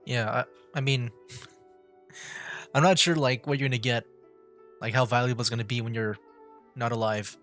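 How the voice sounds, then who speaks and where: A man's voice: medium pitch, steady tone, moderate pace, pauses often, a bit bright. A man doing casual, rambling livestream commentary on a game, sometimes reading text aloud. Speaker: livestream gamer, VTuber. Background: game music.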